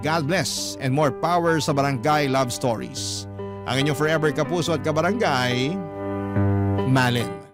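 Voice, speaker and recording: A man's voice: mid-range voice, a little slow. A man with a Filipino accent reading a letter aloud as a radio host, in a dramatic storytelling style. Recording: music bed behind narration. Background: instrumental music.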